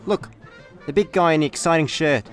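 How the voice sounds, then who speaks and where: An actor playing an easygoing, scatterbrained intergalactic slacker with a Scottish accent, chatty and deadpan in a comedic sci-fi radio play. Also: male voice, a little high, a little fast, faint music behind, natural voice. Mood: casual banter.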